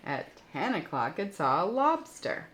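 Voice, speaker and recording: A woman reading stories aloud for children in an expressive, explanatory storytelling style, with small asides to the listeners. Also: lowish voice, unhurried. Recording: roomy echo with faint background noise.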